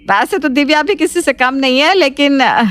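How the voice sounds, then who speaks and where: A woman's voice: high-pitched, normal speed, a bit bright. A woman playing an assertive middle-aged mother in a cartoon family drama, firm and persuasive. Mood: persuasive, brazen.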